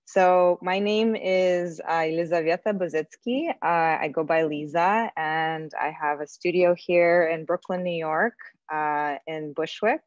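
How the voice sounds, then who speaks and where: A woman's voice: on the low side, a little slow, no echo. A woman born in Russia and raised in the U.S., giving a thoughtful, chatty online lecture as a guest artist over a video call, with frequent ums. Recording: dull lo-fi video call audio.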